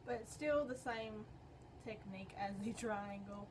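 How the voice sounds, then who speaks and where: A young woman with a slightly hoarse voice, chatting her way through a makeup tutorial in a rambling, filler-heavy way. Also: medium pitch, measured pace. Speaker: beauty vlogger. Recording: noisy home recording.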